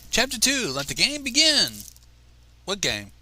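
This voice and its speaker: A man's voice: sits high, wildly swooping pitch, a little slow, bright, forceful tone. A man reading a fan fiction story aloud on a livestream and breaking off for mocking asides.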